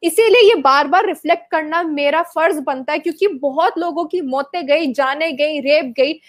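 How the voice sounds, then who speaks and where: Kashmiri woman, high voice, interview guest, heated and argumentative, rhetorical. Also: normal speed. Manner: impassioned, argumentative, rhetorical questions with many hesitations. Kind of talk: heated debate answer.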